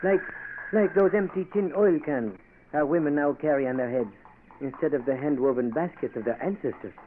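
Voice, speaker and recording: An actor playing a smooth, courtly male government minister, in an old radio drama. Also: a little high, brisk, natural voice. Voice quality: smooth, courtly, French phrases. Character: suave scheming male Haitian health minister. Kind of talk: 1940s radio acting. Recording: noisy tinny old radio broadcast.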